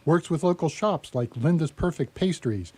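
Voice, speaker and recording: A woman's voice: sits low, lively intonation, moderate pace, a little mellow, slightly rough, no room sound. A woman playing a modest, honest hotel head chef, a little flustered, in a radio play. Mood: insistent, modest. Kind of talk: radio theatre acting.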